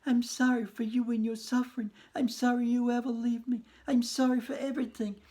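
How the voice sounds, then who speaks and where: A man's voice: extremely high pitch, level tone, fairly quick, mellow, voice pushed up. A man voicing a frantic, remorseful widow in a storybook reading, pleading breathlessly.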